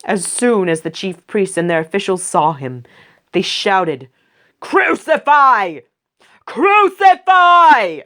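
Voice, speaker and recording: Woman scripture reader, low voice, very slow, measured read-aloud narration.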